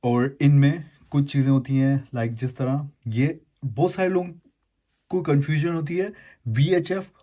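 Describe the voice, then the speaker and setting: A man's voice: on the high side, unhurried, mellow. A man hosting a casual, chatty gadget unboxing and explainer, informally mixing English words into his talk. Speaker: YouTube host unboxing and reviewing gadgets.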